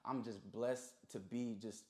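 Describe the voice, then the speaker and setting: A man's voice: medium pitch, fairly even intonation, unhurried, a little mellow. A young man telling stories casually as an interview guest, talking in heavy slang and often checking in with the listener. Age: young adult. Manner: slangy, repeats "you feel me". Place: small indoor recording studio. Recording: roomy, echoey sound.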